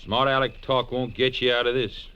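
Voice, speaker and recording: A man's voice: mid-range voice, steady tone, moderate pace, sharp tone, clean tone, close to an everyday voice. An actor playing a big, blunt, jealous tough guy making threats in a 1940s radio drama. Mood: menacing. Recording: dull, muffled vintage radio recording.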